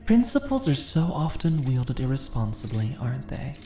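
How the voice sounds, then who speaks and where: A deep, mellow-voiced immortal mistress of a fantasy dungeon, acted by a woman, sly and commanding. She teases and condescends with slow, theatrical persuasion, recorded in an echoey room. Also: sing-song. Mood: teasing, persuasive. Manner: teasing, condescending, theatrically persuasive, uses pet names. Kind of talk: scripted fantasy ASMR roleplay. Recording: echoey room with faint noise.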